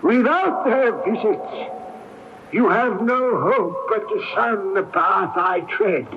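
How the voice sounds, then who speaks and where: An actor playing a mournful, solemn ghost who gives portentous warnings in a radio drama, on a dull, hissy old tape. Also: male voice, high-pitched, expressive, exaggerated voice. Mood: solemn, haunting. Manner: slow, portentous, drawn-out delivery. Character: mournful ghost of a dead man, solemn warner.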